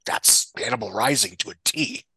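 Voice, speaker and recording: A man's voice: on the low side, expressive, moderate pace, vocal fry, sharp tone, husky, dry recording, rough recording. A man giving casual, opinionated film commentary as a guest, with a wry, blunt way of talking. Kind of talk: casual opinionated movie discussion.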